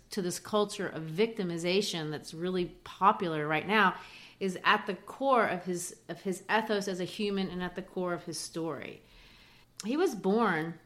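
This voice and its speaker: A woman's voice: on the low side, fairly bright tone. Thoughtful woman explaining at ease. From Brazil. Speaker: podcast co-host. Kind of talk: casual book discussion.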